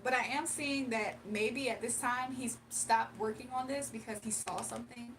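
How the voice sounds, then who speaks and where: A woman's voice: fairly high pitch, steady tone, normal speed, fairly bright tone. Chatty young woman streaming. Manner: chatty, laughs often, reads chat aloud. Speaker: livestream tarot reader. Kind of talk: casual live chat and tarot reading. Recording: distant mic with faint room noise.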